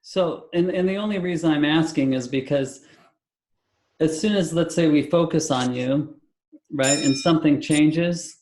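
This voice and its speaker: A man leading a live webinar as a spiritual healer, talking calmly and reassuringly in spiritual terms over a video call. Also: fairly high pitch, a little slow, echoey room. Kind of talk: live webinar healing session.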